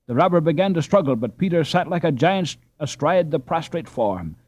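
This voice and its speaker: A man narrating an audiobook adventure in a suspenseful, expressive, dramatic storytelling style. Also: on the high side, normal speed, no room sound.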